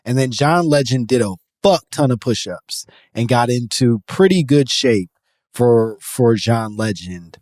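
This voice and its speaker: A man's voice: mid-range voice, animated, a little slow, darkish tone, no echo, studio quality. A man hosting a podcast in casual comedic chat, riffing with a joking, profane manner. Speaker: podcast host.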